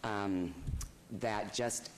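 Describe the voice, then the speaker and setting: Soft-spoken low-voiced man testifying. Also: fairly even intonation, very slow, slight background noise. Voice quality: low, quiet voice. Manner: hesitant, many ums, apologetic. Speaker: property owner appealing a fine. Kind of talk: public testimony. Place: city council chamber.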